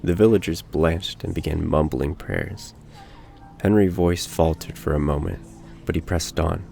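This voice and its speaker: A man reads a scripted bedtime story in a very low, gravelly voice. His delivery is slow, dramatic and deliberate, with clear ambience playing behind him. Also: warm tone, slight background noise. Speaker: storyteller in a bedtime roleplay audio.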